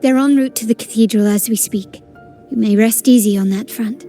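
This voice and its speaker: A woman's voice: fairly high pitch, normal speed, mellow, soft background music, close to an everyday voice. A woman voicing a poised, courteous noblewoman. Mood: composed. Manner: polished, courteous.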